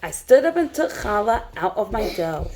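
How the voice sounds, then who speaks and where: A woman storytime teacher reading a rhyming picture book aloud to young children in an expressive way. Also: medium pitch, normal speed, a crowd in the background. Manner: expressive, hushed suspense, asks listeners questions.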